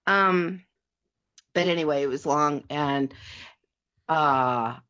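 A woman's voice: low voice, takes it slowly, a bit bright, dull audio. A middle-aged woman chatting casually as a podcast panelist, rambling and digressing as she goes. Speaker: podcast panelist reviewing old radio shows. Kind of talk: casual chat.

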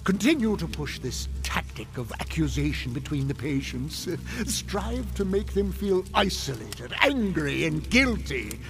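An actor playing a gloating senior demon in a radio drama, speaking in a deliberate, sinister, grandiose way. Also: male voice, on the high side, big pitch swings, creaky, music in the background, heavily acted. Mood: stern, scheming. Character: gloating senior demon, scheming mentor to a junior.

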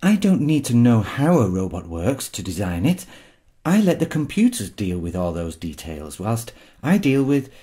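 An actor playing a pompous, irritable robotics designer in an audio drama, haughty, sarcastic and easily exasperated. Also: male voice, medium pitch, big pitch swings, normal speed, warm tone, slightly rough. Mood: condescending.

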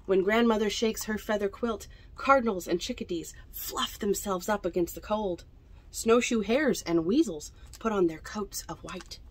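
A young woman reads picture books aloud to children in an unhurried storytelling manner, adding chatty asides about the pictures. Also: mid-range voice. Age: young adult. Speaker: library storytime reader. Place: indoors among bookshelves in a small library bookmobile.

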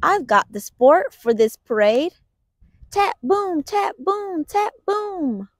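A woman reading a picture book aloud for children in an expressive, deliberate storytelling manner. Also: extremely high pitch, dry recording, studio quality. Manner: expressive, deliberate storytelling with stressed sound words. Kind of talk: animated children's read-aloud.

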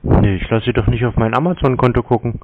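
A man in a terse, deadpan phone conversation, giving stubbornly obtuse answers. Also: medium pitch, fast. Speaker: scam-baiter taking calls from telephone scammers. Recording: narrow tinny phone-like sound.

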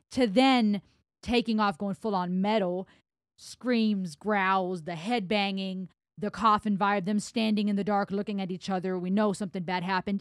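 A woman in her thirties from Germany, with German as her first language, giving an animated music reaction on a boom mic in a home studio. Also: dry recording. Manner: animated, gestures while talking, reads lyrics aloud. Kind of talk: casual music reaction commentary. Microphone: broadcast mic on boom arm, headphones.

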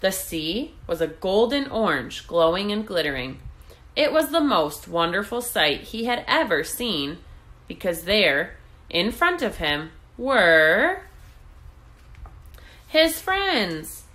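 A woman reading a children's storybook aloud in an expressive, deliberate way. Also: medium pitch. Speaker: read-aloud host.

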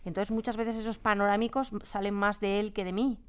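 A woman's voice: mid-range voice, level tone, brisk, clean tone. A woman with a Spain accent, a sound designer answering interview questions conversationally in a radio studio.

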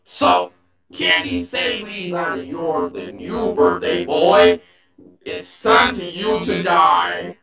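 A man voicing a sinister animatronic cat in a horror game, slow and menacing. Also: sits high, very animated, creaky, sharp tone, full character voice. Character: sinister withered animatronic cat threatening death. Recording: heavy echo, faint music behind.

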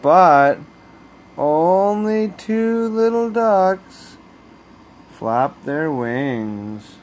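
A man reading a children's bedtime story aloud as a storyteller, with expressive, deliberate phrasing, recorded on a handheld phone at home. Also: high voice, slight background noise. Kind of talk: bedtime picture-book read-aloud. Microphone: handheld phone camera.

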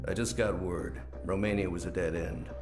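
A man playing a stern, suspicious older training director in a spy game, speaking gravely and formally in clipped phrases. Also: fairly even intonation, normal speed, close to an everyday voice. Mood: guarded, warning. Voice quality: gravelly, deep. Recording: clear music under the voice.

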